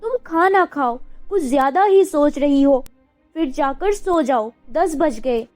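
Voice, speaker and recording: A woman playing a caring, reassuring mother in a dramatized love story, talking in a coaxing, expressive way. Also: sits high, slow pace, no echo, soft background music.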